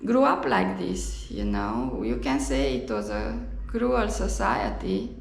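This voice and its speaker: A woman with a Romanian accent tells a personal story to camera, pausing as she reflects. Her pitch moves around freely and often drops into heavy fry. She talks slowly, and the sound is echoey, as from an action camera. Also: very animated. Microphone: GoPro camera. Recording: roomy echo, faint background noise.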